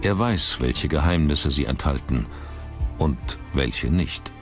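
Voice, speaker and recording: A man reading scripted documentary narration in a measured, dramatic manner. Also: very deep voice, expressive. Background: background music.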